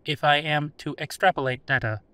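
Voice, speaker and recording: Cold, piercingly bright old computer AI man's voice. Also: a little high, fairly even intonation, brisk, smooth, dry recording. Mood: literal, guarded. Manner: stilted, formal, literal, emotionless. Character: old male-voiced artificial intelligence, cold, literal, guarded. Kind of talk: audio drama machine voice.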